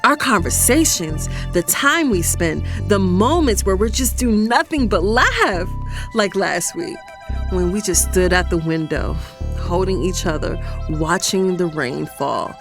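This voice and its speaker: An actress playing a conflicted, lovestruck professional woman, telling her story in an expressive, confiding, exasperated way for an audio drama. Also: on the low side, a little slow, soft background music. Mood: torn, wistful. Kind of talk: dramatized first-person audio fiction narration.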